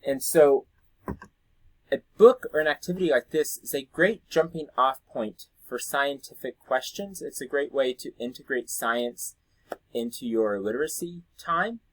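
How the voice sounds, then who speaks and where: A man's voice: mid-range voice, animated, unhurried, dry recording, poor audio. A man giving an informal instructional talk, explaining as a teacher would and thinking aloud as he goes. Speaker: science teacher educator presenting to teachers.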